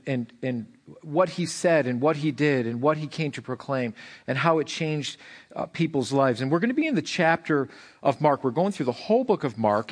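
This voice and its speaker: Hoarse pastor preaching with rising fervor. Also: male voice. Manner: animated preaching, rising in pitch for emphasis. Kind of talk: sermon.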